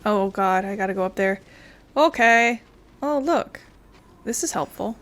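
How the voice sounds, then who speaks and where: A woman's voice: medium pitch, animated, a little slow, fairly bright tone. A young woman giving casual, informal let's play commentary with a wry manner. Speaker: gaming video creator. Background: game music.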